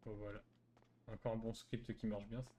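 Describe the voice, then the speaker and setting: A man's voice: on the low side, little pitch movement, fairly quick, dark tone, a little hiss or hum. A man chatting casually while playing an online role-play game, grumbling and arguing. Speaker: gamer playing a troll robber. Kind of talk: casual improvised game chat.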